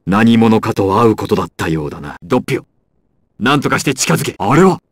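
A man's voice: fairly low pitch, big pitch swings, fast, no room sound. A man playing a proud, ruthless crime boss villain in an anime fighting game, with an imperious, menacing and theatrical delivery. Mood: grave, commanding.

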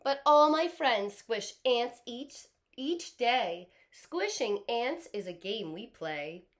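A defiant young storybook boy, acted by a woman, scornful and bossy. The voice is fairly high and swoops widely, leaning slowly and emphatically on each rhyme. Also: very animated. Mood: insistent. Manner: slow, emphatic, declaiming rhymes.

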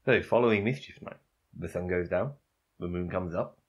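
A woman's voice: rumbling low, moderate pace, husky. A woman co-hosting a podcast in casual banter. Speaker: podcast co-host.

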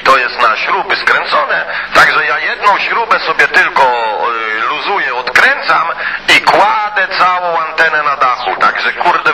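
A man chatting casually over CB radio in a colloquial, rambling way, with mild swearing and filler phrases. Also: fairly high pitch. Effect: CB radio transmission. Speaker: CB radio hobbyist. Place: two-way CB radio conversation. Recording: noisy CB radio transmission.

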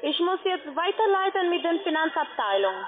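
High-voiced non-native woman on the phone. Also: big pitch swings, normal speed. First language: not German. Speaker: call-centre agent on a scam call. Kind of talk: phone customer call, giving instructions. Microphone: telephone. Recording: muffled narrow phone line.

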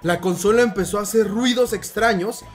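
A man's voice: sits high, brisk, faint music behind. A young man speaking politely and hesitantly on a prank phone call, playing a concerned father. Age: young adult. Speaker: prank caller posing as a father. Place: room at home, indoors.

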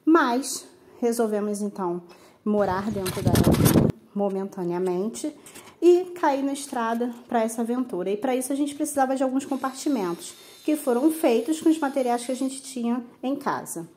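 High-voiced Brazilian woman, earnest vlogger. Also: lively intonation, normal speed, background noise. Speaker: vlog co-host. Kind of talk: casual vlog talk and narration.

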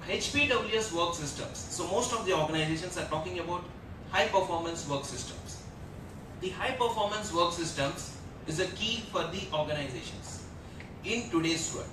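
Indian guy giving a panel talk, kinda high voice, echoey hall, rumble behind. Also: recorded from a distance. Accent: Indian English. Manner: earnest, repetitive, many fillers. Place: large auditorium stage at a lectern. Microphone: lectern mic heard from a far camera.

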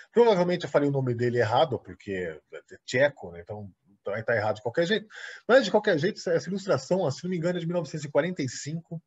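A Brazilian man giving an online lecture over slides, halting with restarts and "né?" tags. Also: mid-range voice, a little mellow. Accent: Brazilian Portuguese. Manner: halting, many repeated words and restarts; explains with frequent "né?" tags. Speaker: guest lecturer. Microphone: video call. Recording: husky, dull lo-fi video call audio.

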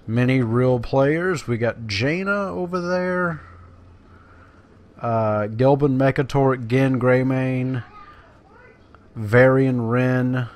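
A man's voice: medium pitch, takes it slowly, pauses often. A man giving casual let's play commentary in a laid-back, musing way. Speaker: gaming let's play host.

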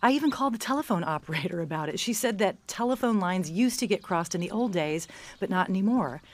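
A woman's voice: medium pitch, lively intonation, fast talker, a little hiss or hum, natural voice. An actress playing a troubled young woman who earnestly tells her own story in an audio drama. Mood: uneasy. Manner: earnest, confessional. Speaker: narrator and lead actor in audio drama. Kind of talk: first-person dramatized testimony narration. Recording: music bed under studio voice. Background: music.

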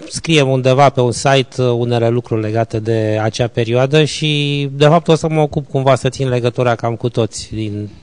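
A man's voice: mid-range voice, steady tone, few pauses, darkish tone, smooth, dry recording. Man hosting, dry close sound. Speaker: event host, moderator. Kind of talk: informal spoken remarks to a guest.

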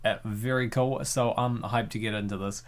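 A man's voice: lowish voice, fairly even intonation, moderate pace. A man hosting a comic reading, giggling often and rambling with lots of fillers. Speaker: host reading a comic dub. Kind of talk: casual chat.

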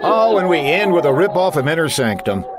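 Lively, higher-pitched man hosting comedy. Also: a little fast, over a noisy crowd. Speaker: comedy podcast host. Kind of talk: scripted comedy banter and announcing.

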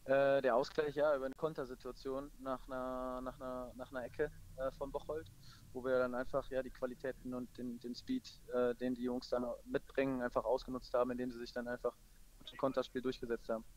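Fast-talking man, even-toned football coach. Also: medium pitch, poor audio. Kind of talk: post-match phone interview.

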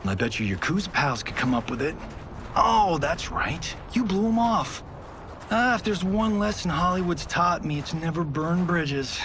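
An actor playing a cocky, wisecracking movie star in a video game cutscene, sarcastic and teasing. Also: male voice, fairly high pitch, animated, normal speed, a little hiss or hum. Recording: game audio with loud score.

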